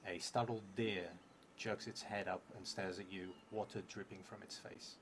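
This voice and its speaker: A man in his thirties, a non-native English speaker, playing a solo tabletop game, reading prompts and thinking aloud in a halting, muttering way. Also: lowish voice, steady tone. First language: not English; English is a third language. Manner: halting, muttering to himself while writing, clearer when reading aloud. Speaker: solo tabletop roleplay streamer. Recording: clearly noisy stream audio.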